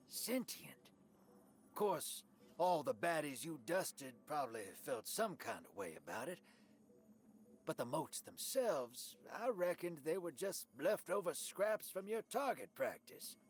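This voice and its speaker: An actor playing a folksy, roguish ship pilot in a video game, with slangy drawling talk and a gravelly voice. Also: male voice, on the high side.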